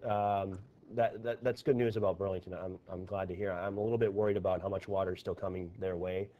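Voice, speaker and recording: A man's voice: low-pitched, steady tone, quick pace, highs cut off. A man anchoring live local news coverage, commenting unscripted and searching for his words as he goes.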